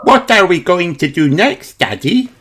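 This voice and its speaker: A narrator voicing an excitable, proud little girl piglet in a children's audiobook, exclaiming and gushing. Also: medium pitch, a little slow, noisy background, pitched above the performer's own voice. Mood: adoring, excited.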